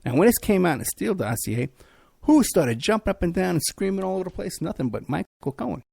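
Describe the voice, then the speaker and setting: A man hosting a podcast, ranting in an animated, repetitive talk-radio monologue, hoarse and losing his voice. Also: high-pitched, fast, dry recording. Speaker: podcast host.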